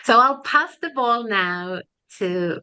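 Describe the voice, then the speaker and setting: A woman's voice: on the high side, expressive, slow, sharp tone, clear, resonant tone, low-quality recording. A woman from Argentina, opening a webinar in a friendly way with some fillers. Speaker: webinar co-host, professor. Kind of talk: webinar presentation.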